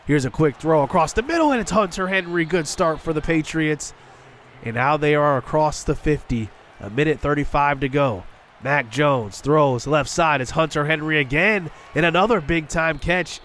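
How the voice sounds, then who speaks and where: A man's voice: mid-range voice, a little hiss or hum. Congested man calling a football video game. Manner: excited play-by-play, shouting on big plays. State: congested from illness. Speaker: gaming channel host. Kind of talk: play-by-play commentary over a football video game.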